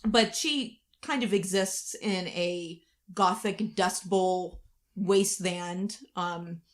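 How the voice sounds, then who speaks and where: A woman's voice: lowish voice, slow pace. A woman chatting as a podcast co-host, actor and writer, reflective and explanatory in manner. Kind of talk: behind-the-scenes podcast chat.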